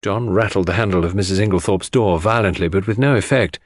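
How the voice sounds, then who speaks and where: A man's voice: low-pitched, fast, no echo, clean studio sound. A man reading scripted first-person literary narration as an audiobook narrator.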